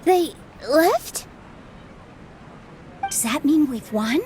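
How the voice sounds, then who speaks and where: A woman's voice: high voice, animated, very slow, noisy background, heavily acted. A woman playing a sweet, loyal young theater dancer in a video game, with an earnest manner. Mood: relieved.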